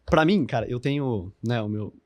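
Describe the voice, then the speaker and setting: A man's voice: mid-range voice, lively intonation, moderate pace, no room sound. A man in his twenties with a Brazilian Portuguese accent, a podcast guest talking in a casual, slangy way, swearing freely and leaning on fillers. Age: 20s. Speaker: podcast guest, business founder.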